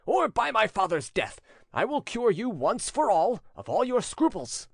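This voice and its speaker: A man's voice: high voice, big pitch swings, moderate pace, no room sound, studio quality, pitched above the performer's own voice. A man voicing a haughty, menacing prince in a storybook reading, with a severe, commanding and insulting manner. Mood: severe, furious. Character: haughty, menacing prince bullying his servant. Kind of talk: audiobook character acting.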